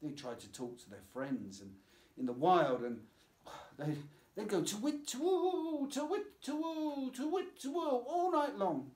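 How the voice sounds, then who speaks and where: A man's voice: very high voice, very animated, unhurried, a little mellow, pitched above the performer's own voice, exaggerated voice. A man voicing a practical, caring mother who chides and reasons firmly in a children's storybook. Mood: patient persuasion. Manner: chiding, reasoning. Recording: roomy, echoing voice.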